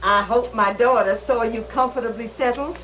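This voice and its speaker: A woman's voice: a little high, normal speed, fairly bright tone, lo-fi sound, a little hiss or hum, lightly acted. An actress playing a courteous, apologetic boarding-house landlady in an old-time radio drama, with polite, formal phrasing.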